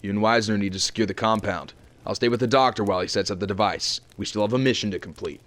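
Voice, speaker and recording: A man playing a gruff older marine captain in an audio drama, giving clipped, commanding military orders. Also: fairly low pitch, fast, a bit bright, natural voice. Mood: firm, commanding. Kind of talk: fan audio drama acting.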